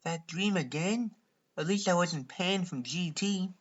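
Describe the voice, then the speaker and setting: A man's voice: high voice, measured pace, lo-fi sound, loud music behind the voice, pitched above the performer's own voice. A man voicing a feisty young woman agent in a cartoon parody dub, dry and matter-of-fact.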